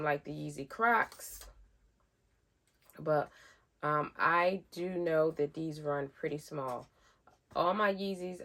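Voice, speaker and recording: Deep-voiced lady w a stuffy cold, rambly sneaker haul chat, low pitch. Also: gravelly. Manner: chatty, rambling with fillers. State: nasal from a head cold. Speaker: sneaker vlogger. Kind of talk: casual unboxing chat.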